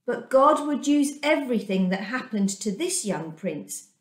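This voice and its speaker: A middle-aged woman reads a children's story aloud in a fairly high voice. Her delivery is deliberate and expressive, with plenty of rise and fall. The recording has the echo of a room at home. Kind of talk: scripted storybook reading for children. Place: small room at home, indoors. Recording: echoey domestic room.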